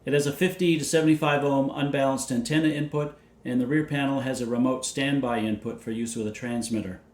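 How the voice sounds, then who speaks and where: A man presenting a scripted technical overview in a measured, matter-of-fact way, reading out details methodically. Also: medium pitch, steady tone, darkish tone. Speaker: presenter of vintage radio videos. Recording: roomy, echoey indoor sound.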